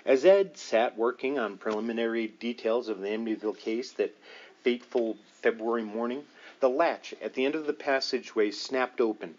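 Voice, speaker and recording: A man's voice: mid-range voice, a little mellow, muffled recording. A man reading a paranormal book aloud as scripted narration, with a dramatic storytelling delivery. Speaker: audiobook reader.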